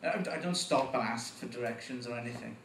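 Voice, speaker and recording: A man with a Welsh accent performing a stand-up comedy set, getting animated at punchlines. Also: a little fast, creaky, distant mic, slight background noise. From Wales. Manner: animated, voice jumps up in pitch at punchlines. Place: comedy club stage. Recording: echoey room, voice from afar.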